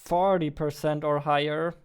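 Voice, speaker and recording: Young man, casual coding devlog, slightly high voice, unhurried, clean tone, close studio mic. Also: steady tone, clear, resonant tone. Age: 20s. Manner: halting while typing, reads text aloud slowly. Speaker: indie game developer. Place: indoors, at a computer desk. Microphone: studio mic on boom arm, close.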